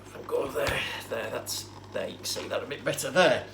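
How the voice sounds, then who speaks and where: A man with a mid-pitched voice talks through a hands-on demonstration with faint noise behind him. He explains in an informal, matter-of-fact way, rambling a little and hesitating as he goes. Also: fairly quick. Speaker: presenter demonstrating vintage tape recorders. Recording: clear steady background noise.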